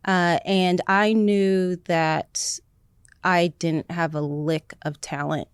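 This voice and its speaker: A woman's voice: lowish voice, flat delivery, slow pace, smooth, no room sound, clean studio sound. A woman talking as an interview guest in casual chat, enthusiastic and rambling, with many fillers.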